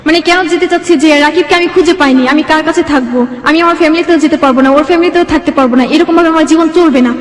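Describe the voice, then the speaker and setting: A woman's voice: sits high, fast, few pauses. A young woman from Bangladesh telling her life story as an interview guest, rambling and quoting dialogue as she goes, in a reverberant room. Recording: echoey hall-like reverb.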